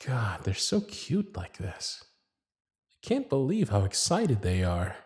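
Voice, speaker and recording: Smitten magician's inner voice, acted by a man: hushed, fond, confiding, intimate ASMR roleplay monologue. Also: on the low side, huge pitch swings, slow, pitched below the performer's own voice, heavily acted. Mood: smitten, musing. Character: smitten magician's private thoughts, shy and fond.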